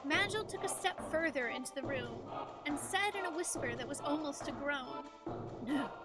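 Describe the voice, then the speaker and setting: A woman's voice: high voice, animated, moderate pace, loud music behind the voice. A woman streamer reading a game's story aloud as dramatic narration, with casual asides. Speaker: streamer narrating a game playthrough.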